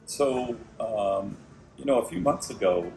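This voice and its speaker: A middle-aged man telling his story as an interview guest, slightly raspy, with lots of restarts and fillers. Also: mid-range voice, lively intonation, unhurried, a little mellow. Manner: hesitant, many restarts and fillers. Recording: echoey room with music behind.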